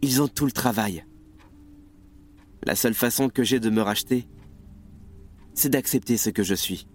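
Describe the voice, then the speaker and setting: A man's voice: medium pitch, normal speed, close to an everyday voice. An actor playing a weary, self-reproaching revolutionary who has lost his nerve, sombre and confessional, in a French radio play. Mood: resigned, bitter. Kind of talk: French radio theatre acting.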